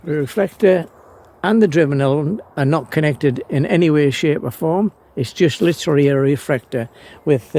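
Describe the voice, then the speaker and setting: A man gives a casual, unscripted show-and-tell in a halting, hesitant way, often pausing mid-sentence. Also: fairly high pitch, gravelly, mellow. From New Zealand. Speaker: amateur radio operator, presenter. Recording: noisy amateur home video.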